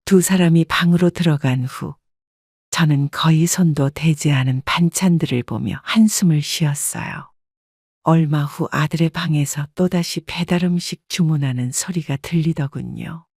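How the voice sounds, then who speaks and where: A woman calmly narrating a family story in the first person as a scripted audiobook. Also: sits low, normal speed, dry recording, studio quality. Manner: calm, even storytelling.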